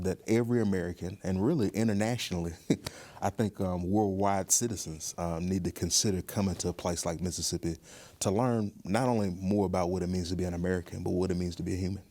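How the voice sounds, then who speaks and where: A man giving thoughtful interview answers as a museum guest, speaking in a measured, earnest and deliberate way. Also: low voice, a little mellow.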